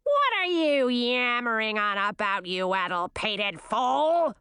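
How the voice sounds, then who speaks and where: A woman voicing a cruel queen turned into a hag, with a raspy, scornful voice in a storybook reading. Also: on the high side, very animated, takes it slowly, sharp tone, dry recording, clean studio sound, exaggerated voice.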